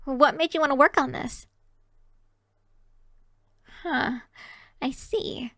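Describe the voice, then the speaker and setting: A woman's voice: high-pitched, takes it slowly, fairly bright tone, dry recording, studio quality, exaggerated voice. A woman voicing a shy, cheery young kitsune barista in an ASMR roleplay, chatting to the listener in a bashful, hesitant, giggly way. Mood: curious, eager.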